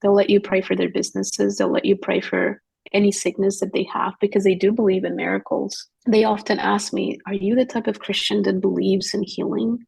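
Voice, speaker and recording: Husky, gravelly-voiced woman telling stories. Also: medium pitch, little pitch movement, a little fast, darkish tone, low-quality recording. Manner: earnest, storytelling, quotes others in dialogue. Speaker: guest missionary. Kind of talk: podcast conversation.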